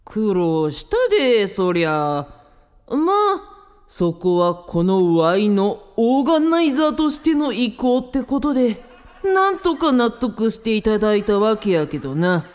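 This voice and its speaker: A woman's voice: medium pitch, sing-song, unhurried, a little mellow, in a large hall, pitched below the performer's own voice. A woman voicing a bossy male show organizer in comic rakugo storytelling, with a Kansai accent and a condescending drawl. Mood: smug, demanding.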